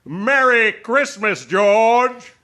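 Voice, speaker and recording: Man acting a sharp, cruel old banker, radio play. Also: extremely high pitch, lively intonation, extremely slow, soft background music, exaggerated voice. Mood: gloating, scornful. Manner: sneering, scornful, declamatory. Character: cruel, gloating old male rich banker.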